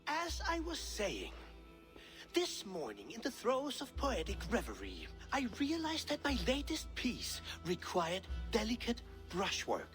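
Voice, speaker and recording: Brief: a pompous Viking-age poet, florid and slow, pitch swinging dramatically. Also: male voice, wildly swooping pitch, a bit bright, soft background music, heavily acted. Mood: grandiose, persuasive. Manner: theatrical, florid, grandiose. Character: self-important, flamboyant male Norse skald, pleading innocence. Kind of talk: dramatic video game acting.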